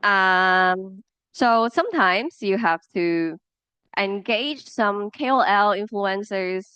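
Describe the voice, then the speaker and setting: A woman's voice: medium pitch, expressive, slow, pushed and bright, clear, resonant tone, dry recording. A woman with a Hong Kong accent, a native Cantonese speaker, giving a classroom presentation and explaining with examples, with many fillers and restarts. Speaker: lecturer.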